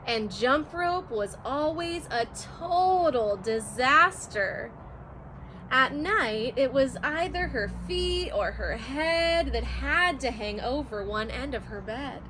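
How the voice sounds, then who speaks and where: A woman's voice: high voice, animated, slow pace, background noise. A woman teacher reading a rhyming children's picture book aloud, in a sing-song, rhythmic storytelling manner.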